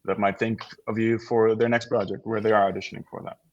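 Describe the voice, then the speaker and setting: A man's voice: on the low side, level tone, quick pace, highs cut off. A man in his thirties from the Netherlands, a native Dutch speaker with a near-American accent, chatting informally as an interview guest and thinking aloud. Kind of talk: casual interview chat.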